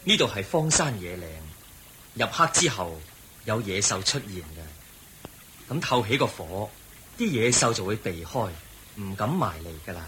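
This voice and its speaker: A calm, kindly monk teacher in a Hong Kong Cantonese radio drama. His low voice is bright and expressive, explaining patiently over clear recording noise. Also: measured pace, heavily acted. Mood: kindly, guiding.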